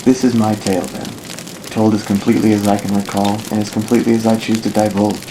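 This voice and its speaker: A man as a low-voiced, solemn elven ranger. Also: level tone, close to an everyday voice. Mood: solemn, reflective. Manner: solemn, measured, formal. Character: thoughtful dark elf ranger recounting his life, solemn idealist. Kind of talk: fan audio drama narration, literary monologue. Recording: noticeably noisy amateur recording.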